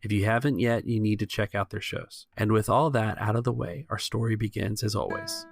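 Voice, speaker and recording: Creaky-voiced man running a tabletop game. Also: on the low side, fairly quick. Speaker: game master and podcast host. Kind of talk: casual tabletop roleplay narration.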